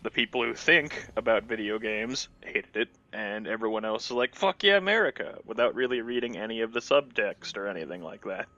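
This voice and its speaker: A man's voice: lowish voice, lively intonation, fairly quick, hardly any pauses, sharp tone, no room sound, lo-fi sound. A man giving casual gaming commentary in a sardonic, grumbling, profane way. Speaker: Let's Play commentator.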